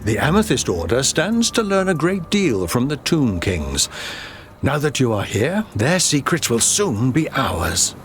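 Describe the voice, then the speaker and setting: An actor playing a scholarly professor leading an expedition in a video game, speaking in a measured, formal, lecturing way. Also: male voice, medium pitch, a little hiss or hum. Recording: game cutscene with music.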